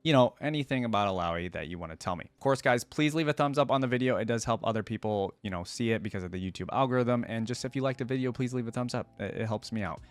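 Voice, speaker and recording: A man's voice: medium pitch, fast, hardly any pauses, no room sound. A man hosting a reaction video, talking casually and rambling as he thinks aloud. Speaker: YouTube reaction host. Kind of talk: casual reaction commentary to camera.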